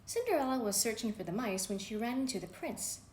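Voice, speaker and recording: A woman reading a children's bedtime story aloud, gently and expressively. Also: a little high, lively intonation, fairly quick. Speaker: storybook reader. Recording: echoey room with faint background noise.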